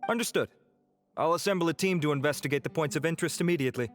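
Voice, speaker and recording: A man's voice: fairly high pitch, racing, soft background music, lightly acted. An actor playing a courteous, dutiful young male officer in a video game, respectful and formal.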